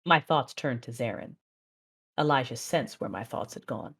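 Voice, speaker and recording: A woman acting as a controlled, proud Sith mother, narrating and speaking in a measured, intense way in an audio drama. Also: extremely low pitch, animated, creaky, a bit bright, husky, exaggerated voice. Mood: brooding. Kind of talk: first-person dramatic narration and dialogue.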